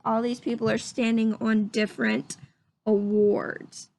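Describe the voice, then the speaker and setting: A woman's voice: fairly high pitch, animated, unhurried, a little mellow. A woman teaching young children through a read-aloud, explaining things simply and putting questions to her listeners.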